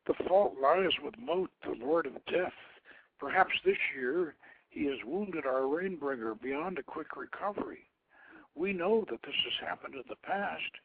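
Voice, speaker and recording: A man's voice: on the high side, lively intonation, measured pace, slightly rough, pitched above the performer's own voice, exaggerated voice. Guy doing a sad weary old-legend king, solemn storybook delivery, thin telephone-ish sound. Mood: sorrowful. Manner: sorrowful, solemn. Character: grieving, weary king confiding in his daughter. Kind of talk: dramatic storybook reading. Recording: tinny phone-like internet radio audio.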